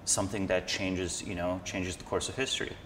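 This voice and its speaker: A man's voice: sits low, level tone, brisk, a bit bright. A man around thirty, explaining his ideas in a thoughtful, analytical, conversational way as an interview guest. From Slovenia. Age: 30s. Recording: echoey hall with background noise.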